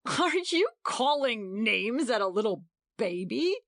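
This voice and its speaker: A woman's voice: fairly high pitch, very animated, takes it slowly, a bit bright, dry recording, studio quality, voice pushed up, exaggerated voice. Woman doing a curt, scornful young husband. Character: contemptuous, protective young husband.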